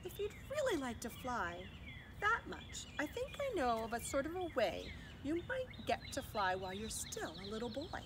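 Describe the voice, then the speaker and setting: A woman's voice: sits high, wildly swooping pitch, normal speed, noisy background. A woman voicing a courteous, worldly old female alley cat in a children's storybook reading. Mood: musing, encouraging.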